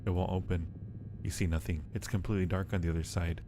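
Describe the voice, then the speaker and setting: A man's voice: low voice, steady tone, fast, noisy background. A man livestreaming a horror game, chatting playfully with viewers, muttering to himself and reading on-screen text aloud. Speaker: live streamer. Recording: music playing behind the voice. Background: game music.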